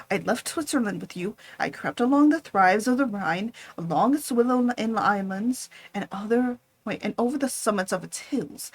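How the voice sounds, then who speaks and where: A woman's voice: mid-range voice, close to an everyday voice. Woman whispering as a vengeful male monster.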